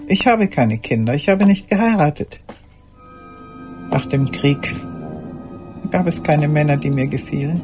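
Einsame alte Dame, tief und lebhaft moduliert. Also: female voice, sits low, expressive, measured pace, long pauses, music in the background. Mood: wistful, lonely. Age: older. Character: lonely, genteel old woman recalling her past. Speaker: actress in a radio play. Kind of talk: radio play monologue.

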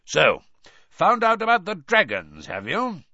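A man voicing a gruff, shrewd old wizard teacher who growls out blunt advice in an audiobook. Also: high voice, huge pitch swings, measured pace, sharp tone, no echo, lo-fi sound, voice pushed up, heavily acted. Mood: sly, probing.

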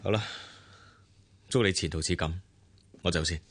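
A cool, deep-voiced rich boss. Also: male voice. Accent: Hong Kong Cantonese. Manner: calm, measured, coolly dismissive. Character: cool wealthy male businessman, the boss. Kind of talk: radio drama.

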